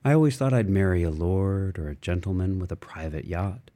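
A man reading a literary audiobook, voicing an interior monologue in musing, fragmented phrases with expressive shifts. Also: sits low, a little fast. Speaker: audiobook reader.

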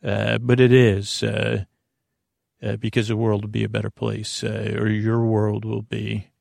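A man hosting a bedtime podcast in a halting, meandering, earnest and reassuring ramble, with a gravelly voice, close on the mic. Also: on the low side, monotone, a little mellow, no room sound. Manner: halting, meandering, trailing off mid-sentence. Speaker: podcast host.

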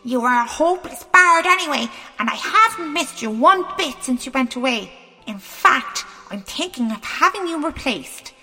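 A shrill, pompous little storybook king, acted out by a woman, extremely high and swooping in pitch. He blusters and complains with petulant indignation. His piercing voice rings through a long reverb. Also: animated, normal speed, sharp tone, full character voice. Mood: outraged, tearful. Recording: long hall reverb, music underneath.

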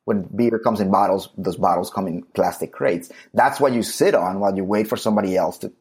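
A man's voice: low voice, fairly quick, a little mellow, slightly rough. A man from Colombia co-hosting a podcast, chatting casually in a jokey, emphatic way. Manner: jokey, emphatic, trails off mid-sentence. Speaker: podcast co-host.

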